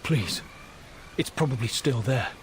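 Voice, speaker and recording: Male actor: doomed loyal soldier, weary, solemn confession, medium pitch, game drama, faint ambience behind. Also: expressive, a little slow, slight background noise, natural voice. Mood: confessional, weary. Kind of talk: dramatic video game acting.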